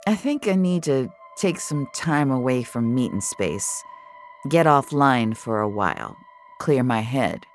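A woman playing a low-key, apologetic young man in an audio drama, speaking in a subdued, casual, hesitant way. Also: lively intonation, a little slow, faint music behind. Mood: downcast. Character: Soren, low-key, apologetic young male online friend.

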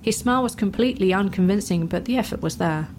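A woman reads fantasy fiction as its narrator. Her pitch is average for a woman, and faint noise sits behind the voice. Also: quick pace, darkish tone. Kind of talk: scripted fantasy story narration.

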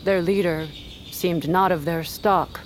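A steadfast fantasy warrior with a fairly low voice. The manner is formal, loyal and deferential, as in an audio drama, over faint ambience. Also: female voice, steady tone, slow, slight background noise. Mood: deferential, uneasy. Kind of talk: audio fiction drama acting.

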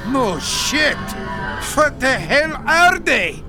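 An actor playing an anxious but ruthless Bosnian immigrant with an Eastern European accent, terse and blunt, in an audio thriller. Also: male voice, extremely high pitch, sing-song, drawn-out words, full character voice. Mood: disbelieving. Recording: music behind the voice.

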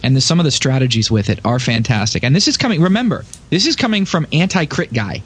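A man's voice: medium pitch, sing-song, fast talker, breathy edge. A man with a mid-range voice talks as a podcast panelist in a casual gaming discussion. He explains his points with emphasis and walks through examples as he goes.

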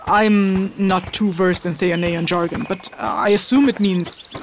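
A woman's voice: medium pitch, fairly even intonation, unhurried, natural voice. A woman plays a stern, guarded fantasy traveler in an audio drama. Her mid-range voice is curt and a little impatient, with faint noise in the recording. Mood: reserved, unsure. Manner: curt, hesitant, exasperated delivery.

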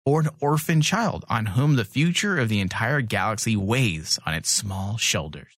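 A man hosting a casual fan podcast, bantering in a mocking, sweary, animated way. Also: lowish voice, moderate pace, dry recording, studio quality. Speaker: podcast host.

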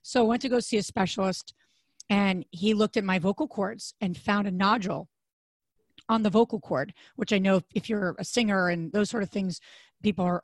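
A woman in her thirties co-hosting a podcast, with a deep, raspy, hoarse voice, chatting casually and rambling with fillers and restarts. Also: dry recording. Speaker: podcast co-host.

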